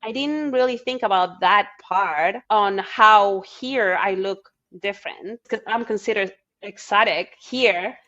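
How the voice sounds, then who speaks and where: A young woman from Peru with a Peruvian Spanish accent, chatting as a podcast guest and acting out quotes in a higher, excited voice. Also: a little slow, hardly any pauses, muffled recording. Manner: animated storyteller, acts out quotes in a higher voice, laughs often. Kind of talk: casual podcast conversation.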